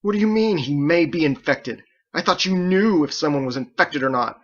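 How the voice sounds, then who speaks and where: An audiobook bully of a sailor, acted out by a man, big and hostile. He yells aggressively in a strained, raised voice with a sharp, forceful edge. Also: fairly high pitch, animated, moderate pace, highs cut off, pitched above the performer's own voice. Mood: accusing anger.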